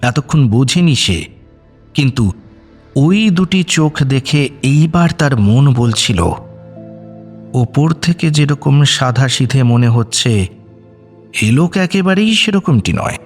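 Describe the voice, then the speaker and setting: A man's voice: mid-range voice, expressive, slow, pauses often. A man narrating an audio story in a dramatic, scripted style. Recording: music bed under narration.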